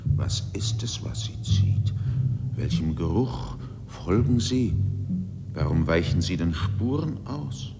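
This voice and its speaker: A man's voice: low-pitched, expressive, slow, vocal fry, music in the background, exaggerated voice. An actor voicing an ancient brooding spirit of the wilderness in a radio drama, solemn and menacing, half whispering his incantation. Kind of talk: 1950s German radio drama monologue.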